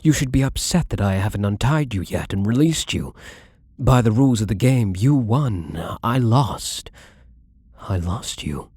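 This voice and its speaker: Possessive rich gambler in love, played by a man. Intimate ASMR roleplay, dark mellow tone, expressive swings in pitch, commanding and adoring. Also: no room sound. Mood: confused, realizing. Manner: breathy, slow, intimate, often dropping to a whisper.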